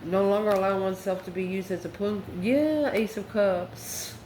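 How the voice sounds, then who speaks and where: Female tarot channel host, mid-pitched voice, off-the-cuff and musing, recorded in a roomy space with faint noise. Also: normal speed. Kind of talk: casual, improvised tarot reading.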